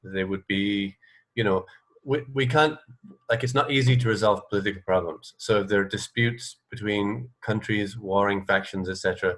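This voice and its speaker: A man's voice: lowish voice, moderate pace, a bit bright, rough recording. A man interviewing on radio in a casual, conversational way, agreeable and feeling his way through questions.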